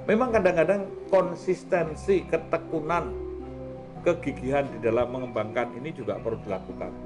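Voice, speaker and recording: A man's voice: a little high, normal speed, over music. A man hosting a conversational talk discussion. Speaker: host.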